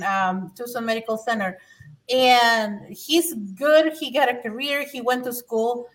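A woman's voice: fairly high pitch, fairly bright tone. Earnest woman, slightly distant. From Mexico. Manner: earnest, emphatic, with frequent restarts. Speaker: guest, former adult learner. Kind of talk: personal testimony in conversation.

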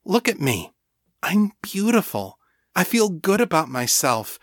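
A proud, headstrong woman in an audiobook story, put on by a man. She is blunt and defensive, hitting her words emphatically with big pitch swings, recorded close and dry. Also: very animated, a little slow, dry recording, full character voice. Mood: firm, proud.

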